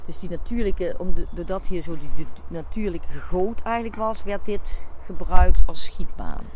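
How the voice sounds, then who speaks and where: A medium-pitched speaker asks casual questions on location. Faint outdoor ambience sits behind the voice. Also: lively intonation, moderate pace, darkish tone, slight background noise. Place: outdoors in a forest.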